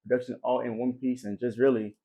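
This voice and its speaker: Young man guest, quick and gravelly, upbeat. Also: level tone, dark tone. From Nigeria. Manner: upbeat, high-energy, grateful. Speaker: podcast guest, filmmaker. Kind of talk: casual interview chat.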